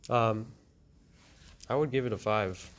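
A man's voice: on the low side, a little slow. A teenage man hosting a casual student podcast, talking hesitantly with many fillers and restarts. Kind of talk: casual discussion of a short story and a game. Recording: noisy amateur recording.

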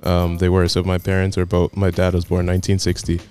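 A man in his twenties, a podcast guest telling his story in a laid-back, chatty way with soft laughs. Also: low-pitched, completely flat delivery, a little fast, soft background music. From United States. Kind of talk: casual chat.

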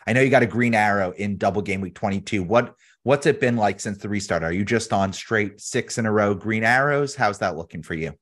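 A man's voice: on the low side, a little fast, few pauses, a bit bright. A man hosting a podcast, chatting in a casual, upbeat and jokey way, full of energy. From United States. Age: adult, has a daughter. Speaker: podcast host.

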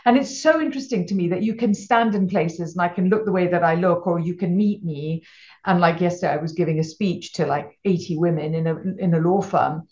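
A woman's voice: lowish voice, brisk. Rambling middle-aged woman on a lo-fi line. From Malawi. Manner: rambling, frequent restarts, animated storytelling. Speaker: entrepreneur guest. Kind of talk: casual interview chat.

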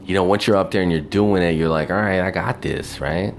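A man with a very deep voice talks alone in a loose, meandering monologue, often checking in with "you know?" His tone is reflective and wistful. Soft music plays faintly underneath. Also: fairly quick, gravelly. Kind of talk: rambling personal monologue.

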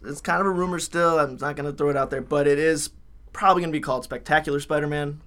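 A man's voice: fairly high pitch, steady tone, quick pace, fairly bright tone. A young man co-hosting a college podcast in a casual, jokey, rambling way from a podcast room. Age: young adult. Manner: laid-back, jokey, rambling with fillers. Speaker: podcast co-host. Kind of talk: casual chat.

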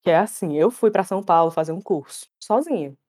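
A young woman telling stories in a casual chat, animated and laughing often. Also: on the low side, fairly quick. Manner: animated, laughs often, acts out quoted voices. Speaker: storyteller guest.

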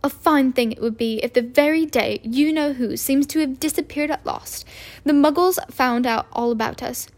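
Woman doing a strict snappy witch teacher, high and loud, impatient. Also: normal speed. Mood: irritable. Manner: clipped, sharp, impatient.

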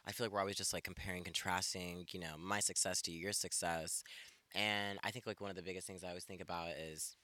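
A man in his twenties with a very low, bright-toned voice talks in a relaxed, reflective way, full of "like" and "you know". He sounds like a guest in a casual conversation held outdoors in a backyard, and the sound is dry. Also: fast, dry recording. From Ethiopia. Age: 20s. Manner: relaxed and reflective, with many fillers.

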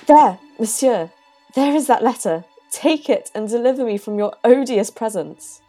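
A woman's voice: on the high side, normal speed, warm tone, close to an everyday voice. An actress playing a proud, defiant and indignant queen, regal and formal, in an audio drama. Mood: bitter. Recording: music behind the voice.

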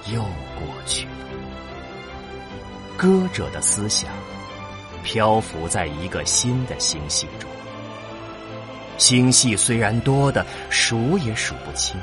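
A man reading scripted narration for a science fiction audio drama. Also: mid-range voice. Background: music under the voice.

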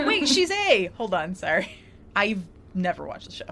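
A woman's voice: medium pitch, big pitch swings, slow, a bit bright. A woman, chatting casually and animatedly as a podcast co-host, with a giggly manner. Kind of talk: casual chat.

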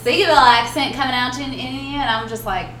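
A woman chatting casually on a podcast, soft-spoken and hesitant, with many fillers. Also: high voice, a little fast. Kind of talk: casual chat. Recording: echoey room, faint hiss.

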